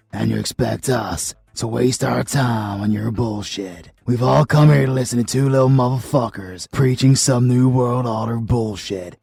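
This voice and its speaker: A man voicing a brash, hulking American gangster who snarls and swears loudly and fast. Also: mid-range voice, gravelly, breathy edge, dry recording, voice pushed up.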